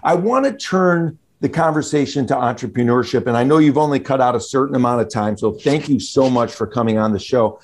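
A man's voice: mid-range voice, fairly quick, hardly any pauses. A man hosting an interview show, asking long rambling questions and praising his guest enthusiastically.

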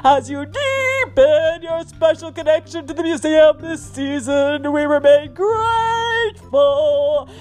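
A pompous, smug museum announcer played by a woman reads fundraising copy as mock drama. The delivery is slow and theatrical, drawing out the grand phrases. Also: high-pitched, animated, darkish tone, faint music behind.

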